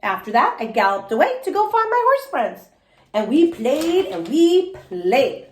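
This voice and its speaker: A woman's voice: high voice, very animated, unhurried. A woman reading a children's story aloud expressively, as a teacher does for young listeners. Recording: echoey room.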